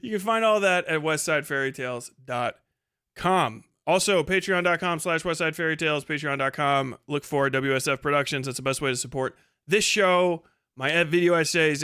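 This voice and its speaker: A man's voice: on the high side, moderate pace, bright, forceful tone, clear, resonant tone, dry recording, clean studio sound. An American man hosting a podcast livestream in a casual, irreverent, rambling way, swearing freely as he goes. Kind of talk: casual profane livestream monologue.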